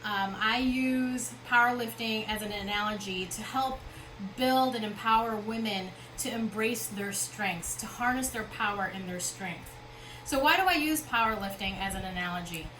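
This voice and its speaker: A woman's voice: medium pitch, moderate pace, pushed and bright. A woman from the Philippines, founder of a company, giving a motivational talk at an expo in a conversational way, often checking in with the audience. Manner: conversational, with frequent fillers and check-ins. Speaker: founder and keynote speaker. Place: expo hall. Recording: noisy expo hall.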